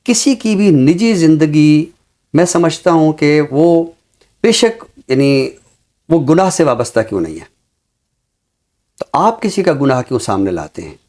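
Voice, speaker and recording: A man speaking in a measured, deliberate and earnest way, explaining his views as a talk show commentator with a literary vocabulary. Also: a little high, very animated, darkish tone.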